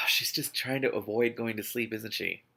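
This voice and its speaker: A man's voice: fairly low pitch, moderate pace, gravelly, sharp tone, slightly rough. A man reading a novel aloud expressively, breaking off into spontaneous amused and exasperated asides as host. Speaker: host of an online read-aloud series.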